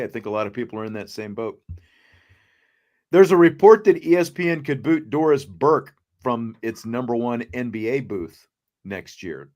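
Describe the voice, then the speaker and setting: A man hosting a sports talk show, speaking casually in a measured, conversational way. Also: fairly low pitch, darkish tone.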